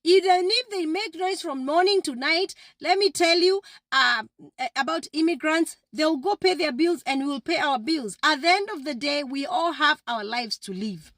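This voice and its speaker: Female, high-pitched, emphatic motivational vlogger with repetitive exhortations, dry recording. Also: moderate pace, fairly bright tone, no echo. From Kenya. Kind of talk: motivational direct address to viewers.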